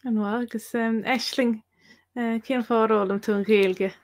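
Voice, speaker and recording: A woman's voice: a little high, fairly even intonation, normal speed. A woman brought up bilingually, chatting easily on a live-stream Q&A. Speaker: Irish-language teacher on a learning platform. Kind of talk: casual live-stream chat.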